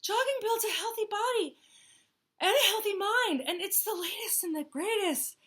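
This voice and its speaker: A woman voicing a pushy, health-crazed male hippo in a storybook, loud, breathless and overeager. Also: very high voice, normal speed, voice pushed up. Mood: panting.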